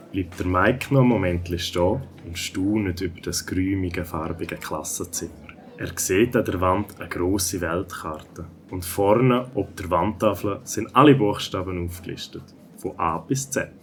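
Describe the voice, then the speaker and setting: Casting: man, fairly deep, Swiss German storyteller for a kids' audio play, slight ambience behind. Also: a bit bright, slight background noise. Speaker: narrator. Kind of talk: scripted children's story narration.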